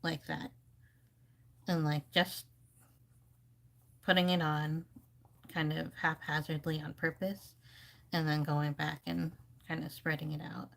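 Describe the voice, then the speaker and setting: A woman's voice: low-pitched, level tone, takes it slowly, gravelly, pauses often, fairly bright tone. A woman giving casual, rambling live commentary as she paints, thinking aloud like an informal art teacher.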